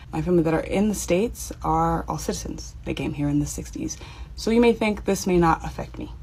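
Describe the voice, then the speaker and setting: Low-voiced woman from Miami speaking earnestly. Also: creaky, low-quality recording. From Miami, Cuban family. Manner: earnest, pleading, with small nervous laughs. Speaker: social media commentator. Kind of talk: direct-to-camera personal commentary.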